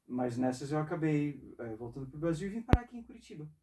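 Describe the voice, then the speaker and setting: A man in his forties from northeastern Brazil, an interview guest talking casually and reflectively, in a halting way. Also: fairly high pitch, sing-song, far from the mic, background noise. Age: 40s. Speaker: interview guest, saxophonist and composer. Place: music studio.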